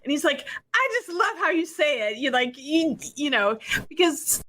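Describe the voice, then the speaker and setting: Woman, from Wisconsin, podcast co-host, bright, higher pitch, animated storytelling, casual chat. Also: slight background noise, rough recording. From Wisconsin, USA. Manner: animated, quick storytelling with acted-out quotes.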